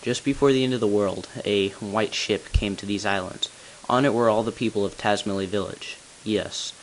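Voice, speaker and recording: A man playing a tall, solemn bell ringer who reveals long-kept secrets in grave, deliberate narration for a game. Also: on the low side, close to an everyday voice. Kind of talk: scripted character narration, amateur game voice-over. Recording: hissy, noisy amateur home recording.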